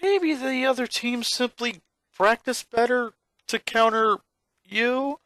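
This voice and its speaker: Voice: medium pitch, slow, fairly bright tone, no echo, pitched above the performer's own voice. A story reader voicing an anxious, scheming boy who speaks hesitantly and carefully. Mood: cautious. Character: anxious, scheming middle-child boy, tentative and sheepish.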